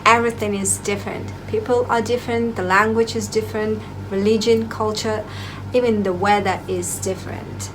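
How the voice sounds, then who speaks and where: A woman's voice: mid-range voice, fairly even intonation, normal speed, soft background music, rough recording. A young woman from Azerbaijan telling her own story to camera in a playful, self-mocking way, with non-native English phrasing. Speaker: vlogger. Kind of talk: casual personal storytelling to camera.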